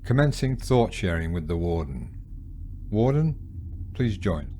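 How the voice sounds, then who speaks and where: A commanding science fiction director. The voice is low, with expressive swings in formal, stern orders. Noisy ambience sits behind it. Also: male voice, unhurried, full character voice. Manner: formal, authoritative.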